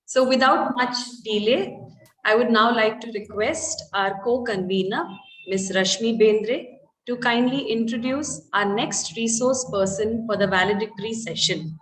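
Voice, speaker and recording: A woman's voice: fairly high pitch, measured pace. Woman moderator, echoey room. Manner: formal, courteous. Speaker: conference moderator. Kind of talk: formal vote of thanks.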